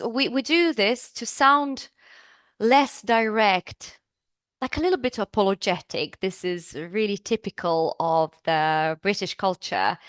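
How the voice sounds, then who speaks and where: A woman's voice: medium pitch, fairly bright tone, smooth, dry recording. A woman from Serbia, teaching business English in a live online class, upbeat and chatty, asking the class questions.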